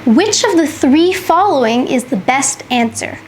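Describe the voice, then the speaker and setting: A young Canadian woman teaching an English lesson from home, speaking clearly and slowing down on the key phrases. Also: sits high, expressive, a little mellow, slight background noise. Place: home room with bookshelves.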